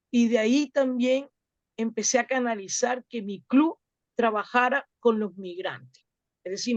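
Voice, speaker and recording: A woman from Latin America telling a personal story to a group in an earnest, halting way, repeating words as she goes. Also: medium pitch, darkish tone, no echo, highs cut off. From Latin America, outside Chile. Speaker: club volunteer and workshop participant.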